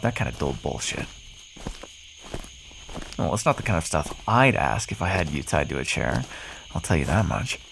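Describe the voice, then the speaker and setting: Male actor as sardonic vampire, lowish gravelly voice, teasing and flirty, ASMR roleplay, crickets faintly chirping behind. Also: a little hiss or hum, full character voice, poor audio. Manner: teasing, sarcastic, with pet names and swearing. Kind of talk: ASMR roleplay acting addressed to the listener.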